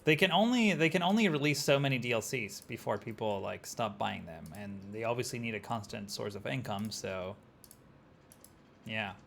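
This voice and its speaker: Young argentinian guy streaming a city builder, gravelly voice, rambly, faint hiss behind. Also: medium pitch, animated, moderate pace, fairly bright tone. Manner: rambling, chatty, talks to chat, many "like" fillers. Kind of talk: casual gameplay commentary.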